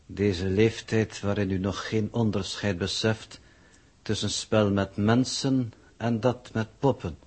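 An emotionless android coordinator in a 1960s radio play, low and somewhat husky. The delivery is formal and pedantic, coldly logical and fully detached. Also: male voice, fairly even intonation, slow, a little mellow, heavily acted. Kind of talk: 1960s radio drama acting.

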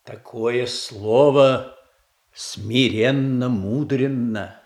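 Grave, slow churchman. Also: mid-range voice, darkish tone. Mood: solemn counsel. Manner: solemn, measured. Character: grave, peacemaking church primate counselling princes. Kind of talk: theatrical verse acting in a radio play.

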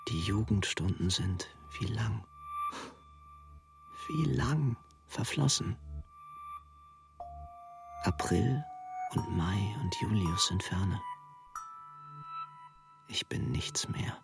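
A man's voice: on the low side, animated, very slow, creaky, pauses often, music in the background, exaggerated voice. An actor playing a melancholy, world-weary male poet reciting verse in a radio play. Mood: weary, resigned.

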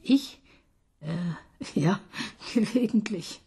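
A woman's voice: medium pitch, animated, very slow, mellow, husky, heavily acted. An actress playing a skeptical female police detective, questioning in disbelief. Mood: incredulous. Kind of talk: radio drama acting.

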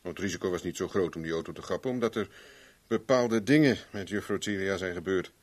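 An actor playing a terse, hard-boiled investigator in a 1970s radio drama, dry and understated in delivery. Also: male voice, sits low, fast talker. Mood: guarded, matter-of-fact.

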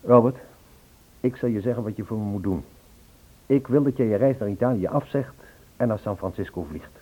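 A man's voice: fairly low pitch, fairly quick, lightly acted. An actor playing an anxious, insistent man searching for a missing woman in a Dutch radio play. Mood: urgent pleading. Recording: husky, phone-like old radio play recording.

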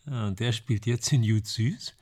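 A man's voice: fairly low pitch, measured pace, mellow, natural voice. A man playing an attentive, questioning writer friend in a German radio drama. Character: supportive male writer, attentive friend of an actor.

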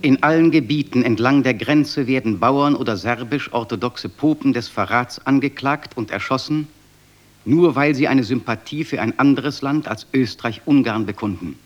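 A man's voice: medium pitch, moderate pace, lightly acted. A man playing a grave, fervent lodge orator denouncing tyranny in a German radio drama, rhetorical and accusing. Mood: solemn indignation. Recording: slightly dull, muffled recording.

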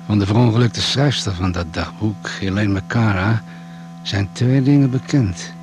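An actor playing a matter-of-fact doctor who survived a crash, measured and no-nonsense, in a Dutch radio play. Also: male voice, on the low side, over music, lightly acted. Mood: grave, factual.

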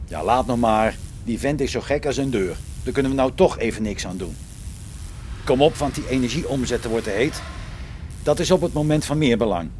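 A man's voice: medium pitch, normal speed, darkish tone, a little hiss or hum, close to an everyday voice. A man playing a hot-tempered, blunt space engineer in a radio play, speaking in a coarse, colloquial way. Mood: exasperated. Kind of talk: amateur science fiction radio play acting.

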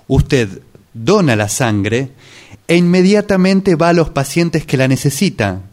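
A man's voice: on the high side, very animated, unhurried, a little mellow. A man playing a baffled, firm clerk in an amateur radio play, speaking formally. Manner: formal, incredulous. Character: baffled, firm male blood bank clerk.

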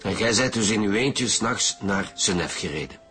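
An actor playing a commanding Flemish chief detective, direct and questioning, in a radio drama. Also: male voice, fairly low pitch, lively intonation, moderate pace, bright, forceful tone, soft background music. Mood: probing. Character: commanding, probing male chief police detective.

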